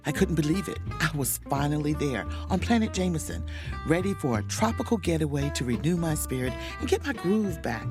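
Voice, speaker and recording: A woman as a wry, animated starship officer on leave. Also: low voice. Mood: wistful, eager. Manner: wry, self-interrupting, muttering asides. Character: overworked female starship chief mate on vacation, wry, awkward. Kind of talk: audio drama narration and dialogue, romantic comedy.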